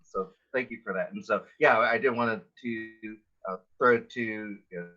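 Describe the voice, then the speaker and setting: A male moderator with a fairly low but bright voice steers a casual panel. He is affirming and a bit rambling as he hands over. The recording is slightly dull and lo-fi. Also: normal speed. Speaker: host, moderator. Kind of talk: casual hosting.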